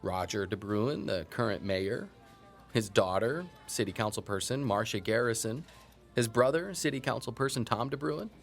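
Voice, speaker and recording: A man playing a wry, folksy small-town historian and coffee shop owner in an audio drama, talking emphatically with dramatic pauses. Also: on the low side, creaky, faint music behind. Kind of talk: naturalistic audio drama interview.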